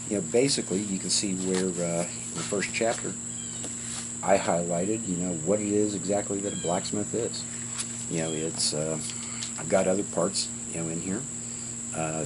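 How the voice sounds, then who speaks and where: A man in his fifties giving an informal, rambling instructional talk to camera, with halting delivery and frequent fillers. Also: deep voice. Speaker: blacksmithing teacher and channel host. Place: outdoors on a house porch. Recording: clearly noisy outdoor recording with insects.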